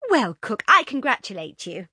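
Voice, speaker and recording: A woman voicing an eager, well-bred young woman who speaks in a bright, gushing way. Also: fairly high pitch, sing-song, moderate pace, husky, no echo, studio quality, pitched above the performer's own voice, full character voice.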